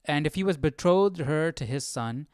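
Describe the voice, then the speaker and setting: A man hosting an informal livestream Bible study, reading aloud and thinking out loud in a musing, hesitant, self-questioning way. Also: on the high side, animated, normal speed, smooth, dry recording, clean studio sound.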